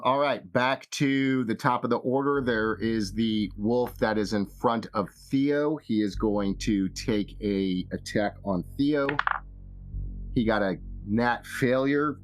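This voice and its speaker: A man's voice: mid-range voice, rough recording. A man narrating a tabletop role-playing game as game master, casual and free with swearing. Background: music.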